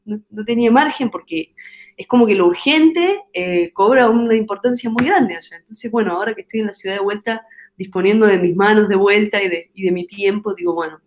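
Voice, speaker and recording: A woman's voice: mid-range voice, fairly quick, slight background noise. Argentine woman musing thoughtfully. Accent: Argentine Spanish. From Argentina, Córdoba. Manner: thoughtful, searching for words, many restarts. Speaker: guest singer-songwriter. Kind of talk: reflective interview talk.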